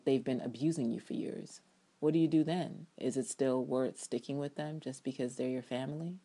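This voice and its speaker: Low-voiced woman, emphatic dramatic essay reading. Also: dark tone. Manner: emphatic, theatrical, sardonic. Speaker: TV drama blogger reading own review aloud.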